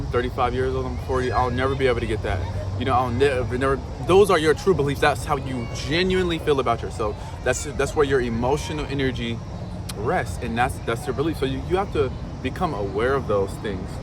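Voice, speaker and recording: A man in his thirties giving a casual self-help talk, loose and swearing, full of fillers and restarts. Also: mid-range voice. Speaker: motivational vlogger. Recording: outdoor traffic noise behind.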